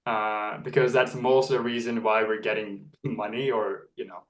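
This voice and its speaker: A man's voice: lowish voice, steady tone, normal speed, roomy sound, recorded from a distance, poor audio. A young man from Norway, an actor talking earnestly in a casual interview, often restarting his phrases. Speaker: actor and model, interview guest. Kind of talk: reflective casual conversation.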